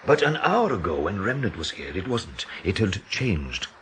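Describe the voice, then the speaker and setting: An actor playing a sceptical, uneasy gentleman in an audio drama, with a husky voice and a halting, intense delivery. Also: low-pitched, big pitch swings, a little hiss or hum. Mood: haunted. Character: sceptical, uneasy male gentleman named Lewis.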